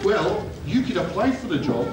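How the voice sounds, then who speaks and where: A hearty Scottish Santa played by a man with a fairly high, declamatory stage voice. He is earnest and busy, heard from far off in an echoey hall. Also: very animated, moderate pace, very noisy. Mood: persuasive. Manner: projected, declamatory. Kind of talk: amateur stage pantomime acting. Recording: echoey 1980s hall video, distant, loud music.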